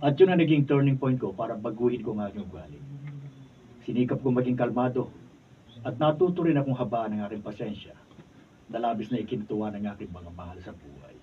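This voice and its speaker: A man's voice: mid-range voice, fairly quick, natural voice. A man with a Filipino accent playing a hot-headed, cocky husband in a radio drama. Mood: calm, reflective. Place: radio drama recording studio. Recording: muffled, noisy behind-the-scenes studio video, distant mic.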